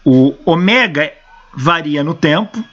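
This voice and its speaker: Hoarse Brazilian professor, lively higher voice, dull lo-fi sound, talks through equations step by step. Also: male voice, slow pace. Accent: Brazilian Portuguese. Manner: explains step by step, asks and answers his own questions. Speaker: engineering professor. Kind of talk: lecture working through equations. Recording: dull lo-fi recording.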